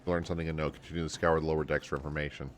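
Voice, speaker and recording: A male game streamer with a low voice, reading on-screen text and making self-deprecating asides. Game music plays behind him. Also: little pitch movement, rapid-fire. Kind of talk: live game stream, reading dialogue and quests aloud.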